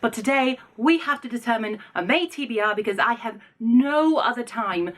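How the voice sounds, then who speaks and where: A woman's voice: a little high, animated, moderate pace, fairly bright tone, a little hiss or hum. A woman speaking casually and informally as a video host, effusive and opinionated in the way she reviews. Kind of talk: casual chatty book vlog.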